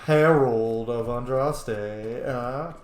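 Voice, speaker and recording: A man's voice: medium pitch, extremely slow, a little hiss or hum. A man casually commenting over a roleplay game, joking and swearing. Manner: joking, profane, lively. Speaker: roleplay game player and host. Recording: echoey room.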